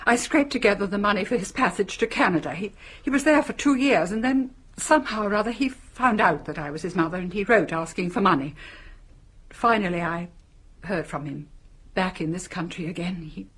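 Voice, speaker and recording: Brief: anguished middle-aged mother in radio mystery, defensive, halting delivery, breathy rough-edged voice. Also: medium pitch, fairly bright tone. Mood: ashamed, confessing. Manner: halting, defensive, repeats words. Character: guarded, anguished mother hiding a secret son. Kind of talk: radio drama acting.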